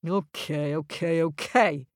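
A woman voicing a grumpy, blustery male north wind who roars and booms gruffly. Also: slow pace, no echo, studio quality. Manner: roaring, booming, gruff.

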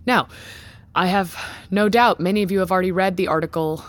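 An actress playing an embattled, proud company founder in an audio drama, controlled and cutting. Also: female voice, fairly low pitch, brisk, natural voice. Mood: composed, firm. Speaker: actor. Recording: music behind the voice.

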